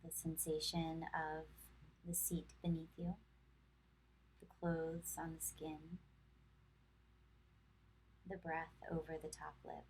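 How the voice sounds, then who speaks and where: Female meditation guide, soothing and measured, extremely even in tone, unhurried pauses, light background noise on the recording. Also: low voice, low-quality recording. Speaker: yoga instructor.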